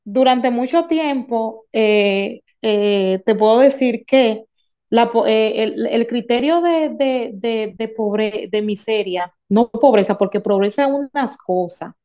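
Dominican female guest speaker, unhurried, halting and emphatic, explaining from experience, slightly dull lo-fi sound. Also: on the high side. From Dominican Republic. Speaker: guest speaker in a group discussion. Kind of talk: unscripted explanation of lived experience.

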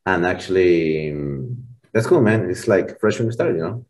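A man in his forties from Colombia with an Argentine Spanish accent, speaking English as a second language in a relaxed, rambling interview chat full of fillers and restarts. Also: sits low, normal speed. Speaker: band member guest.

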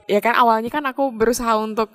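A woman's voice: fairly high pitch, steady tone, fast talker, soft background music. A young woman from Yogyakarta with a light Javanese-influenced Indonesian accent, co-hosting a podcast in a playful, teasing way. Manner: playful, teasing, laughs often. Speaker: podcast co-host. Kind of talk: casual podcast chat.

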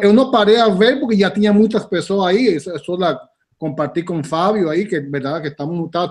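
A man from Venezuela with a Venezuelan Spanish accent and Spanish as his first language, telling a story in casual chat with halting restarts and a habit of ending phrases with "não?". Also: high voice. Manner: halting, repeats words, tags with "não?".

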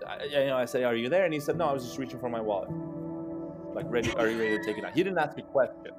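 A man in his thirties from Turkey, telling personal stories as an interview guest and acting out remembered dialogue in an animated manner. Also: mid-range voice, very fast, loud music behind the voice. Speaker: interview guest, startup co-founder. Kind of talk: conversational personal storytelling.

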